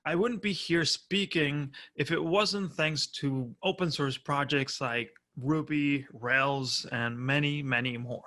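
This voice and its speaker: A man's voice: a little high, takes it slowly, hardly any pauses, bright, forceful tone. A man in his thirties from Argentina with an Argentine Spanish accent, giving a friendly, explanatory prerecorded conference talk from home. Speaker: conference speaker.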